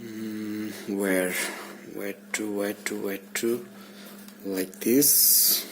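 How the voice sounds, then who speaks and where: Slow, halting man with noise behind him. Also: lowish voice, level tone, rough recording. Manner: halting, muttering to himself while working. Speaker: DIY vlogger. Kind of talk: casual hands-on tutorial talk. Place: small indoor room. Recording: background noise, room ambience.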